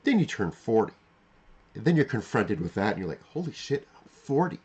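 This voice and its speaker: Middle-aged man with animated, swinging pitch. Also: husky, muffled recording. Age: 40s. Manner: halting, repeats and rephrases phrases, emphatic. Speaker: livestream host. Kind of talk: unscripted reflective monologue to live chat.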